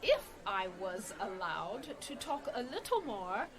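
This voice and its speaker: A woman's voice: medium pitch, very animated, slow pace. A woman playing a devout, formal healer with proper speech in a comedy radio play. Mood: coy, hopeful.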